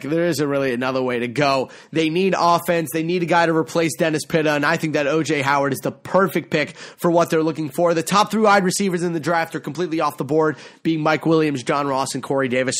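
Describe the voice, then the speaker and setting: A man's voice: fairly high pitch, fairly bright tone. A young man hosting a solo football mock draft podcast, rambling and correcting himself, his voice a little worn. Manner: rambling, self-correcting, enthusiastic. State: losing his voice. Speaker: podcast host.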